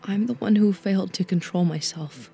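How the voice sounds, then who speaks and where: A woman's voice: mid-range voice, normal speed, dark tone, husky, faint music behind. A woman playing a guilt-ridden, reserved teenage cat-eared girl in an audiobook, speaking in a subdued, pleading manner. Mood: ashamed, pleading. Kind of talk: audiobook fan fiction dialogue.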